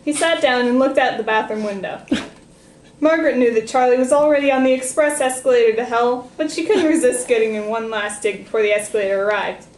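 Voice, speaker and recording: A woman's voice: fairly high pitch, brisk, darkish tone. A woman reading a comic story aloud in a playful, giggly manner. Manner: playful, often breaking into giggles. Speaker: reader. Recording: roomy echo with noise.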